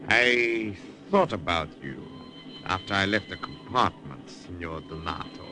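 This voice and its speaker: A man's voice: low-pitched, lively intonation, unhurried, over music. A man with a German accent voicing a genial, probing German field marshal, acted in 1940s radio style. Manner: genial yet interrogating, courtly. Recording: hissy old radio broadcast.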